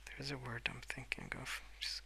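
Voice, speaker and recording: A man speaking softly and hesitantly in a rambling ASMR style, often slipping into whispers at a desk indoors. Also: medium pitch, level tone, moderate pace, creaky. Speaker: host of a colouring ASMR channel. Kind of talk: soft-spoken rambling ASMR. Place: at a wooden desk indoors, with pencil sounds.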